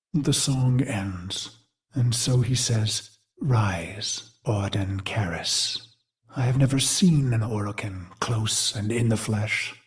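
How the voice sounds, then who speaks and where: Slow, brooding warrior machine. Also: male voice, on the low side. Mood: solemn, haunted. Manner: grave, theatrical, deliberate phrasing. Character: brooding male warrior machine mind recalling his brutal past. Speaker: game character narrator. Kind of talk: dramatic scripted game monologue.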